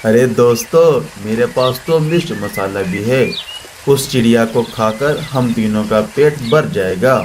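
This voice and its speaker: Man as villainous cartoon eagle, greedy, taunting, mid-pitched, noisy background with birds. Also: expressive, measured pace, a little mellow, close to an everyday voice. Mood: gloating. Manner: menacing, gloating, taunting. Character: greedy, threatening male eagle, predatory gang boss.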